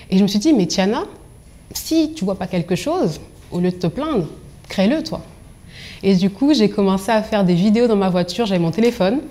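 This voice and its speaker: Young woman coach getting interviewed, tells personal stories, expressive n articulate, mid pitch. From Angola and Congo. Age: young adult. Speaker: professional confidence coach being interviewed.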